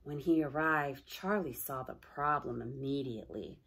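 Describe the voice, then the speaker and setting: A woman's voice: very deep voice, moderate pace, gravelly, fairly bright tone, slight background noise. A woman narrating a children's storybook with dramatic emphasis on key words. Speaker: storybook reader.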